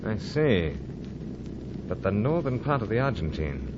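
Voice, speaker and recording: An actor playing a hearty, unflappable British secret agent in an old-time radio adventure serial, with a plummy, polite, chummy manner. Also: male voice, lowish voice, big pitch swings, measured pace. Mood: hearty, reassuring. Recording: muffled recording with clear engine noise.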